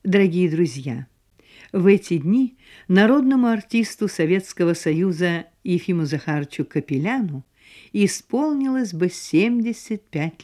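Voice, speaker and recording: A woman's voice: fairly low pitch, warm tone. A woman hosting a radio programme in formal, scripted narration. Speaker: radio host.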